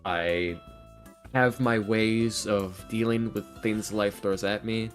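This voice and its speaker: A man's voice: on the low side, steady tone, takes it slowly. A man in his early twenties chatting casually as a podcast guest, rambling along in an informal, slangy way. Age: early 20s. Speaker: interview guest, ASMR roleplay creator and voice actor. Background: soft guitar music.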